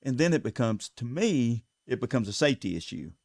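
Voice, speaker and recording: A man with a Southern US accent talks in an earnest, plain way, often restarting and repeating words as he lays out his points. His voice sits at a middle pitch. The sound is dry and close, with no room echo. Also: sing-song, fairly quick, gravelly, darkish tone. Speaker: sheriff candidate. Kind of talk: casual interview talk. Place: local TV studio.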